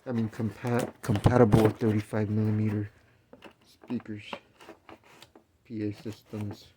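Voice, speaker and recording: Low, flat-voiced man unboxing gear. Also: unhurried, background noise. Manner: relaxed, self-correcting, explains as he goes. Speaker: DJ reviewing gear. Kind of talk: casual unboxing walkthrough.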